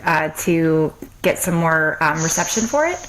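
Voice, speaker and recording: A woman's voice: low-pitched, animated, moderate pace, fairly bright tone, poor audio. A woman hosting a podcast, interviewing casually in an effusive, encouraging way. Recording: clear background noise, clicks.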